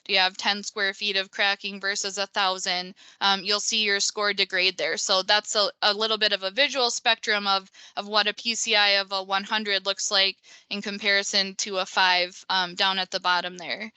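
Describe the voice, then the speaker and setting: A woman's voice: mid-range voice, level tone, moderate pace, hardly any pauses, pushed and bright, clear, resonant tone, no room sound. A woman in her thirties with a Minnesota accent, presenting over a video call in a conversational way, with many ums and restarts. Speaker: pavement engineer presenter. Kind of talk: webinar presentation.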